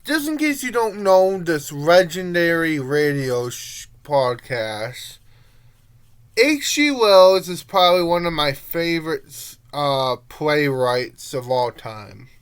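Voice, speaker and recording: A young adult reviewer talks at a slow pace in a mid-range voice that swings widely up and down. The delivery is an informal, rambling monologue, full of halting turns and unfinished thoughts. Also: mid-range voice, sing-song, clean tone. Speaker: amateur reviewer.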